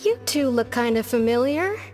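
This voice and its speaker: Loyal female inmate for a game played by a woman: reflective, admiring, fairly high pitch, music behind. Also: animated, moderate pace, a little mellow, lightly acted. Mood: thoughtful, respectful. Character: thoughtful, loyal female prisoner network member. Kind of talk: scripted video game acting.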